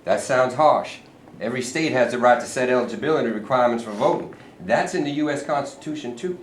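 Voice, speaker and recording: A man plays a sly state governor with a mid-range voice, smooth and patronizing, always dodging the question. It sounds like a live staged reading in an echoey room, with faint hiss behind it. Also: mid-range voice, expressive, fast talker, natural voice. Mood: evasive. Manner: evasive, patronizing, mock-genial.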